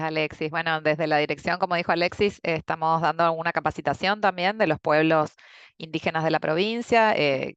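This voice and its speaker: A woman's voice: sits low, clean tone, no room sound, dull audio. A woman moderating an online talk, hesitant and full of uhs. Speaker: program coordinator, moderator.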